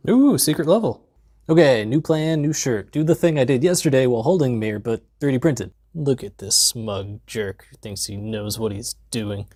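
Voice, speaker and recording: Young man, twenties, deadpan comic narration, mumbled asides, lapel mic, dead dry sound, average pitch. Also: moderate pace, no echo. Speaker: DIY maker vlogger. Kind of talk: comedic scripted tutorial narration. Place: basement workshop, indoors.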